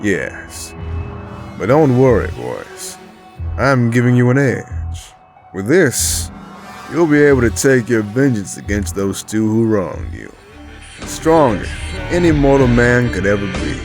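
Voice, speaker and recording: A man's voice: mid-range voice, big pitch swings, slow, soft background music. A man playing a big middle-aged crime boss in an audio drama, theatrical and condescending, with menace under a mock-friendly manner. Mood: smug, coaxing.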